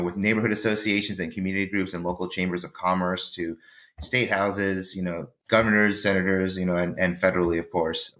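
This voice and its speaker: Low-voiced guy from peru, guest on a podcast, rambles w lots of ums and restarts. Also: fairly bright tone. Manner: rambling, many fillers and restarts. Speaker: lobbyist guest. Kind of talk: casual interview chat.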